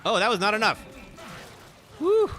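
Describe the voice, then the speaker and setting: Man streaming gameplay, slightly raspy, lively pitch, bright voice, chatty fillers. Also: normal speed. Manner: chatty, reacts with sudden loud exclamations; filler-heavy, answers chat. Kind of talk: live gameplay commentary.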